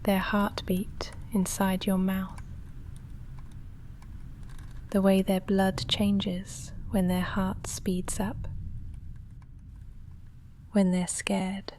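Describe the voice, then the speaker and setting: Woman acting a slow, hushed, lovestruck vampire roommate. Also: mid-range voice, completely flat delivery, slight background noise. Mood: hushed longing. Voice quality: soft, breathy, often whispering. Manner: hesitant, halting, confessional. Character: shy lovestruck female vampire roommate, confessing and self-restrained. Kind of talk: intimate ASMR roleplay monologue.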